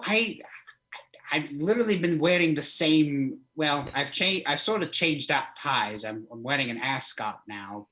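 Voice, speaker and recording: A man's voice: medium pitch, sharp tone. A man playing a flamboyant old vampire count from Eastern Europe as a comic horror host, rambling hesitantly through an interview in character. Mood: comic, animated.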